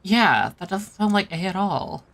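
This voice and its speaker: Gravelly man reading game lines. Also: high-pitched, expressive, a little slow, fairly bright tone, slight background noise.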